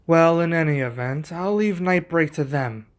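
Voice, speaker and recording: A man playing a composed, earnest young king in an ASMR roleplay, speaking in a measured, formal way. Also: fairly high pitch, natural voice. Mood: calm, resolute.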